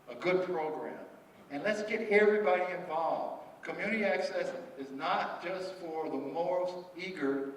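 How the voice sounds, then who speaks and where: A man presenting at a public meeting, speaking with halting restarts and repeated words. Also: a little high. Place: large echoey meeting hall. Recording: echoey hall audio from far off, faint noise.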